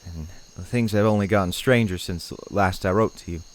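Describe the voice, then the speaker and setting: A man's voice: low-pitched, moderate pace, lightly acted. A man playing a troubled, plain-spoken farmer in an audio horror play, hesitant, folksy and understated. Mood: grim, resigned. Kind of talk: audio horror drama acting. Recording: insect-like ambient noise behind dialogue.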